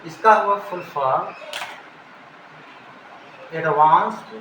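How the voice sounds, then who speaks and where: A man's voice: a little high, slow pace, bright, forceful tone. A man with an Indian accent giving an informal technical lesson, explaining and repeating key terms. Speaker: teacher. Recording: echoey room, background noise.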